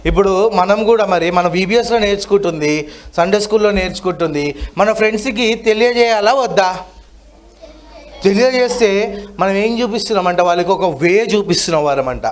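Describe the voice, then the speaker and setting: A man's voice: sits high, normal speed. A man teaches a children's Bible lesson interactively, asking questions and prompting repetition. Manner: asks questions and has children repeat.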